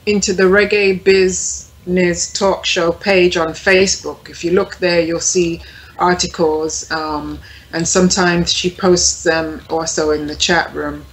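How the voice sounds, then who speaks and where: Woman, radio panelist, lowish pitch, polite, hesitant, casual talk. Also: flat delivery, a little slow, poor audio. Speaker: panelist reading chat comments.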